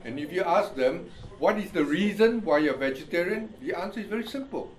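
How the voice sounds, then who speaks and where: A man with an Indian accent preaching a sermon as pastor. He reads scripture slowly and keeps checking in with "you see?" and "all right?", often chuckling. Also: sits high, expressive, a little hiss or hum, low-quality recording. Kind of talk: sermon, Bible teaching.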